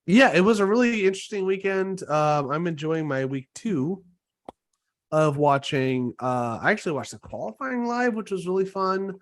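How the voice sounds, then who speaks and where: A man's voice: sits high, moderate pace. Casual young man recovering from a cold. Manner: swearing, animated storytelling. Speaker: podcast host. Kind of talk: casual chat.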